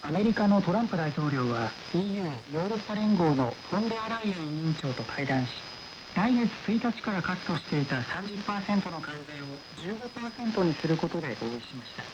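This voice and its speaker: A man's voice: high-pitched, animated, rapid-fire. A man presenting scripted news on the radio, received over shortwave. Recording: muffled, noisy shortwave radio.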